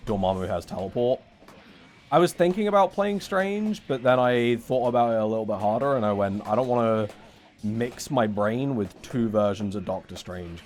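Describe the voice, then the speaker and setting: Male streamer, wry and rambling, chatting to viewers between matches, mid pitch. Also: darkish tone, slight background noise. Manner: joking, sarcastic, rambling. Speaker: streamer talking to chat. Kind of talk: casual live-stream chat while playing.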